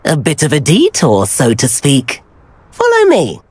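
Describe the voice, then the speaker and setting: A man's voice: on the high side, huge pitch swings, measured pace, darkish tone, breathy edge, heavily acted. A man voicing a friendly, adventurous teenage schoolboy in a video game, chatty and well-spoken. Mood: eager, inviting. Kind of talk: video game character acting.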